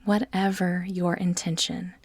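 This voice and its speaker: Slow, soft-voiced woman guiding meditation. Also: on the low side, flat delivery, no room sound. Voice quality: soft, breathy, often near-whisper. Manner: soothing, measured, deliberate pauses between phrases. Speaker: guided meditation guide. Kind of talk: slow guided meditation narration.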